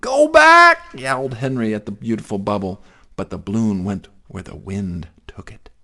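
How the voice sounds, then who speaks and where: A man narrating a children's story in an expressive, theatrical way. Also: fairly low pitch, moderate pace. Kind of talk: dramatic children's story reading.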